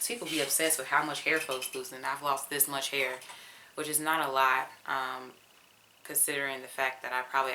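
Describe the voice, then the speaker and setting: A woman's voice: extremely low pitch, bright, forceful tone, a little hiss or hum, poor audio. A young woman from Georgia with a Southern accent, a teacher chatting candidly to her viewers while she detangles her hair at home. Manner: candid, chatty, talks straight to viewers with casual slang. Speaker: teacher and vlogger.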